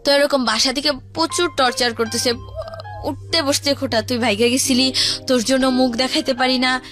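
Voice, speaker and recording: A woman's voice: sits high, fairly even intonation, normal speed, fairly bright tone, faint music behind. A young woman with a Bangladeshi accent telling her life story in a colloquial, conversational way, often voicing what others said. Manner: colloquial, acts out quoted speech.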